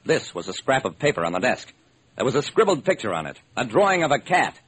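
An actor playing a brisk, determined hard-boiled male private detective, narrating and acting in a classic radio crime drama. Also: mid-range voice, big pitch swings, fairly bright tone, poor audio. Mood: wry, brisk. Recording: old, slightly rough radio recording.

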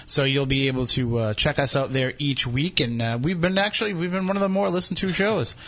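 A man's voice: a little high, brisk, a bit bright. Joking Boston radio host man. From New England. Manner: joking, self-deprecating. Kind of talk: casual radio banter.

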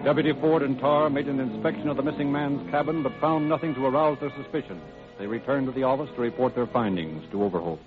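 A man's voice: mid-range voice, quick pace, music in the background. A man announcing and narrating a scripted 1930s radio program in a formal, salesmanlike manner. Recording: tinny 1930s radio recording.

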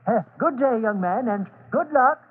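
Flustered genial old man, high husky voice, stammers a lot, old radio serial. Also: lively intonation, measured pace, heavily acted. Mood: genial, sly. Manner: hesitant, stammering, fussy. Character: curious old antique dealer, shifty, flustered, genial. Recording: tinny vintage radio recording.

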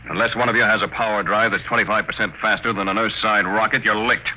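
An actor playing a cool, unflappable captive adventurer with a wry, taunting manner in an old-time radio drama. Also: male voice, on the low side, steady tone, fast talker, bright, forceful tone. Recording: noisy vintage radio recording.

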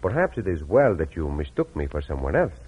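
Vintage Western radio hero: gallant Mexican adventurer, hugely animated, husky, muffled phone-like sound. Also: male voice, very deep voice, fairly quick, exaggerated voice. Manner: formal, courtly phrasing. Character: gallant, flirtatious, courtly Mexican cowboy adventurer. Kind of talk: 1940s-50s Western radio acting.

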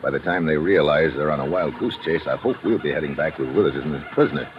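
An actor playing a tough, wry American adventurer with a sardonic, deadpan delivery in an old-time radio drama. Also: male voice, very deep voice, quick pace. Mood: wary. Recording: muffled, noisy old radio recording.

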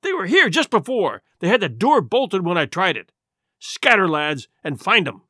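A man voicing a villainous blind pirate beggar who shouts and curses imperious orders in a storybook reading. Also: high voice, very animated, normal speed, no room sound, clean studio sound, full character voice. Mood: raging, impatient.

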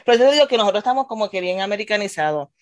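Puerto Rican woman telling lively stories. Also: medium pitch, rapid-fire, no room sound, clean studio sound. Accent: Puerto Rican Spanish. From Puerto Rico. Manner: animated storyteller, mixes English words. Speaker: teacher. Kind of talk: casual chat.

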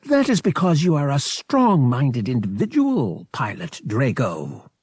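A man voicing a huge, smug male alien priest with a booming, drawn-out delivery in an audiobook. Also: huge pitch swings, darkish tone, husky, dry recording, clean studio sound, exaggerated voice. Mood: smug, amused. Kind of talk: audiobook character dialogue.

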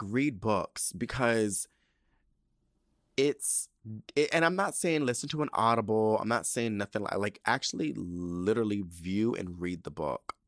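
A man in his thirties talks through his podcast in a loose, rambling style, swearing freely. His low voice swings widely up and down. The sound is dry and close, with no room around it. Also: no echo. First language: not Spanish. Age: 30s. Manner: animated and profane, with loose, rambling asides. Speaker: podcast host. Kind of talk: casual solo podcast talk.

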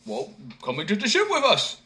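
An eager, naive young peasant simpleton from a storybook who shouts his greetings and questions as a man plays him. His voice is raised, loud and bright with excitement. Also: on the high side, moderate pace, voice pushed up. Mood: calling out.

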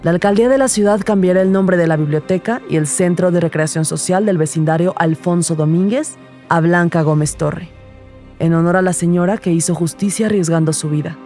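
Actress as rookie female detective, low mellow voice, crime podcast narration, measured storytelling. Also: close to an everyday voice. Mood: reflective. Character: young rookie female detective narrating her first case. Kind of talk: scripted first-person crime audio drama narration.

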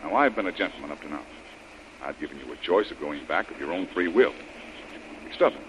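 Deep-voiced, commanding radio adventurer. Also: male voice, lively intonation, a bit bright, poor audio. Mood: angry, forceful. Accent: American. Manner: brisk, authoritative, clipped orders. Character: tough, commanding male adventurer, bossy and impatient. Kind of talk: old-time radio adventure acting. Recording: old radio broadcast with faint noise.

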